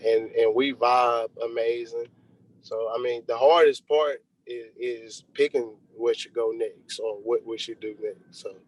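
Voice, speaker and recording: A man's voice: mid-range voice, a little mellow, low-quality recording. A young man speaks in a medium register. He is a rapper giving an interview, keeping it casual and conversational with plenty of slang. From Texas, United States. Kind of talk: casual chat. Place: remote video call.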